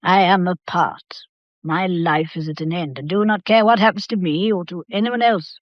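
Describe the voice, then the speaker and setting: An imperious elderly matriarch played by a woman in a period radio drama. She speaks in a measured, formal way, weary yet shrewd and coolly composed. The sound is dry and a little dull. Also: lowish voice, dry recording, lightly acted. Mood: weary, commanding. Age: older.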